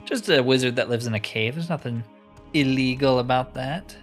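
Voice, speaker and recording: A man's voice: medium pitch, moderate pace, soft background music. A man giving casual, informal let's play commentary on a roleplaying video game, thinking aloud as he plays.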